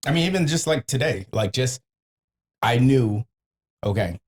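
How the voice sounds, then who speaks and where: A man's voice: mid-range voice, lively intonation, measured pace. A man hosting a podcast in casual, slangy chat, swearing and joking as he talks. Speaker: podcast host.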